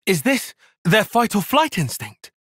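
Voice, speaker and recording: An earnest young tactician in a video game cutscene. His voice is a little husky and recorded close and dry. He speaks in formal, bookish sentences, with pitch that moves a good deal. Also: very animated, a little slow, fairly bright tone, no room sound, exaggerated voice. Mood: urgent, exasperated. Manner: precise, formal, bookish phrasing. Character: analytical, earnest young male soldier and tactician. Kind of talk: dramatic game cutscene acting.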